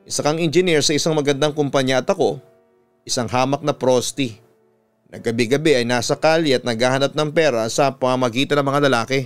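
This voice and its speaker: A man's voice: medium pitch, a little fast, clear, resonant tone, faint music behind, lightly acted. A Filipino man voicing an anguished, self-doubting woman who pleads emotionally, as in a radio drama. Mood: guarded, sad.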